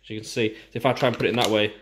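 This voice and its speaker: A man's voice: on the low side, little pitch movement, rapid-fire, fairly bright tone, a little hiss or hum. British man giving a casual product review. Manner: informal, explanatory.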